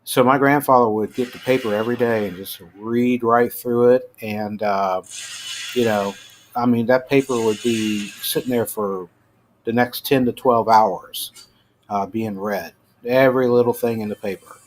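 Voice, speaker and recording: Middle-aged American male host, average pitch, informal rambling commentary with halting delivery, homemade recording with some noise. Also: steady tone. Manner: informal, halting, trails off mid-thought. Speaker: host of a personal rant show. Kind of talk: casual rambling news commentary. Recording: clearly noisy amateur home recording.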